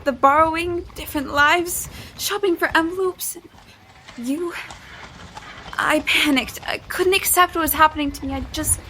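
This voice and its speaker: A woman's voice: sits high, measured pace, pushed and bright, a little hiss or hum. A woman playing an earnest, anxious young heroine in a first-person audio drama, with a dramatic delivery. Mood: panicked, pleading. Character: anxious young woman heroine, resourceful, narrating her own mystery.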